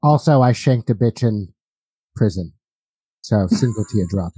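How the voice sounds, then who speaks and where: A man roleplaying and narrating at a tabletop game in measured, halting phrases. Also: fairly low pitch, animated, dark tone, breathy edge, dry recording, poor audio.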